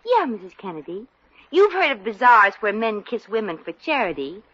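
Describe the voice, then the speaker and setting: An actress playing a brassy, scheming young Brooklyn secretary, wisecracking in slangy 1940s radio comedy style. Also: female voice, on the high side, big pitch swings, moderate pace, fairly bright tone. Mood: eager, scheming. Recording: muffled, roomy old radio recording.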